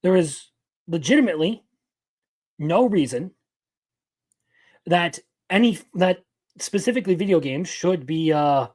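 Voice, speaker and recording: A man's voice: fairly high pitch, animated, a little slow, long pauses, a little mellow. A man in his twenties giving casual live-stream commentary as an anime news host, opinionated and rambling, answering his chat as he goes. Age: 20s.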